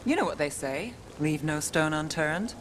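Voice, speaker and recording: A woman's voice: sits low, lively intonation, moderate pace, slight background noise. A woman voicing a weary, guarded detective with dry, understated wit in a fantasy video game. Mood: wry, teasing. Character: weary, wry, guarded young female detective in a fantasy city.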